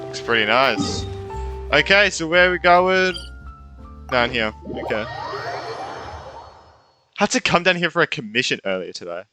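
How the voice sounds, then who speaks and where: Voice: mid-range voice, sing-song, unhurried, pauses often. A streamer giving casual game commentary, muttering reactions and repeating phrases. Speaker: gaming streamer. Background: game music.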